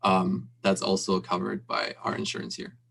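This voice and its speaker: A man's voice: deep voice, very animated, normal speed, creaky, fairly bright tone, slightly rough. A young male student panelist who explains things in a chatty, informal way, full of "um" and "like". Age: young adult. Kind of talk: panel Q&A answer.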